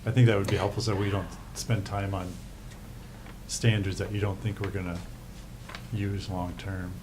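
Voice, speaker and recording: A man's voice: deep voice, flat delivery, moderate pace. A man talking informally as he takes part in a meeting discussion. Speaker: public meeting participant. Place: indoor meeting room. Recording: noisy meeting room recording.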